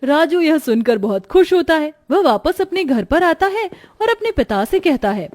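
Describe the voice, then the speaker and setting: A woman's voice: high-pitched, sing-song, normal speed, darkish tone. A woman narrating a scripted children's cartoon story.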